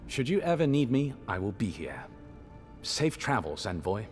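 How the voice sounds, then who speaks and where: Scholarly game courtier, lowish pitch, lively intonation, courteous, precise, deliberate. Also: male voice, soft background music. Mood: composed, grave. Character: formal, courteous male aide to an envoy, measured and scholarly. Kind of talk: game dialogue, measured exposition.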